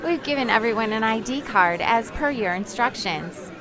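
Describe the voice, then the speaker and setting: A woman's voice: fairly high pitch, lively intonation, normal speed, close to an everyday voice. A woman playing a dutiful, compliant schoolteacher in an amateur audio drama. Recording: busy crowd noise behind.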